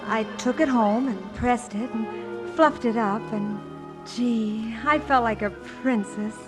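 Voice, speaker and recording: A woman's voice: on the high side, takes it slowly, music in the background. An actress playing a naive, dreamy young working-class shop girl in a 1950s musical radio drama, speaking in a wistful, guileless, gushing way. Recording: old 1950s radio broadcast.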